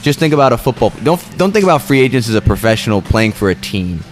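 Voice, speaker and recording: A man's voice: medium pitch, fast talker, faint music behind. A man co-hosting a podcast, chatting casually and explaining his views at length. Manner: relaxed, explains at length, some restarts. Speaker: podcast co-host. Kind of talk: casual chat.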